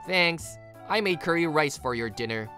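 A woman's voice: deep voice, animated, moderate pace, sharp tone. A woman playing a kind, responsible young office worker and uncle in a story, with an earnest, attentive manner. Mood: explaining. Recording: music behind the voice.

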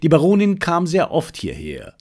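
Man reading as a zookeeper, talkative, fond of animals, explanatory, lively intonation, audiobook dialogue. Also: fairly high pitch, moderate pace. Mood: friendly, explaining. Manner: chatty, explanatory.

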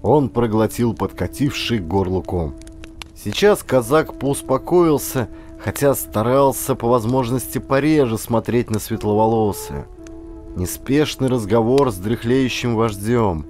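A man narrating a historical adventure audiobook in an expressive, theatrical way with deliberate pauses. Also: medium pitch, soft background music.

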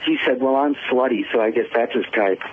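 A male caller on a dull phone line with faint noise behind him. His voice is medium in pitch, and he talks in a casual, gossipy way to a radio show. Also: brisk. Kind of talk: casual gossipy call-in talk. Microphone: telephone. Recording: muffled noisy phone-in line.

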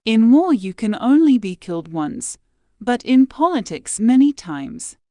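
Slow, lively-pitched woman reading quotes. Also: dry recording. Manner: measured, deliberate reading with pauses between phrases. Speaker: quote reader.

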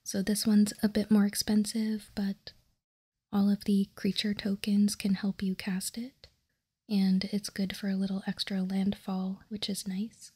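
A woman whispering softly in an unhurried, measured ASMR style as she reads out and comments. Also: mid-range voice, monotone. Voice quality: breathy, unvoiced whisper. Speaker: host of a card-game ASMR show.

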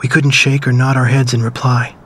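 Game story narrator, played by a man: reflective man looking back on war, mid-pitched, flat and restrained. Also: a little hiss or hum, lightly acted. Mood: somber, reflective. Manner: somber, measured, reflective. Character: man somberly recalling his wartime childhood. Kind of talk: scripted first-person story narration.

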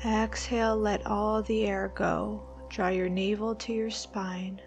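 A woman leading a guided meditation, giving soothing, unhurried instructions with long pauses between phrases. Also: mid-range voice, little pitch movement. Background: background music.

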